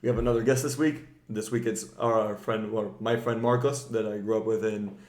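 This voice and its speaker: A man's voice: lowish voice, steady tone, moderate pace, darkish tone. A man hosting a podcast in casual, informal conversational speech, often peppering it with "like". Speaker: podcast host. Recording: roomy, echoing room sound.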